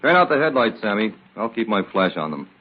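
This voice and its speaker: A coolly menacing racket boss with a low, animated delivery. He hands out curt, sardonic orders in vintage radio drama style. The sound is dull and lo-fi. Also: a little fast, husky. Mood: cold, commanding. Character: cold, commanding gangster boss, calmly menacing racketeer. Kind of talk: 1940s radio crime drama acting. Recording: lo-fi old radio recording with faint hiss.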